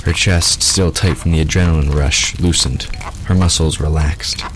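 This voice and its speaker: A man's voice: rumbling low, steady tone, normal speed, background noise. A man reading scripted fiction as the narrator of an audio drama.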